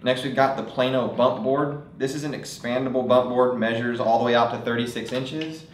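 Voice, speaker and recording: A man's voice: mid-range voice, steady tone, normal speed, echoey room, slight background noise, poor audio. A young man presenting fishing products at a table in a studio, talking casually with frequent fillers. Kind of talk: product demonstration.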